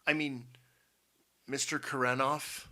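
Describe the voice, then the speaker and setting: A man's voice: medium pitch, level tone, slow, sharp tone. A man voicing a clever teenage boy in an audiobook story, earnest, polite and urgent.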